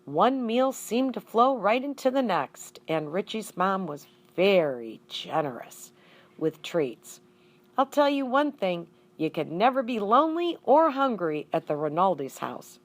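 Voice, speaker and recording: A woman reading a children's storybook aloud in an expressive way, acting out the dialogue. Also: mid-range voice, unhurried. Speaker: audiobook reader.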